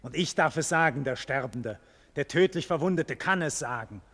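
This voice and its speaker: A proud condemned nobleman in a classic radio drama, with a fairly high voice in long reverb. He declaims with formal, impassioned stage diction, defiant and bitterly indignant. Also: lively intonation, normal speed, fairly bright tone. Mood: bitter, wounded pride.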